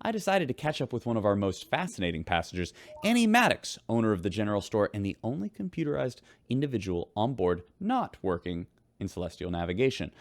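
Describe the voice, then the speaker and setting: An upbeat radio host on a spaceship in a sci-fi audio drama. His delivery is polished and folksy, with a very animated, swooping pitch that suits scripted news and interviews. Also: quick pace, few pauses, no echo. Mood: upbeat, newsy. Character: chirpy male radio host aboard a spaceship.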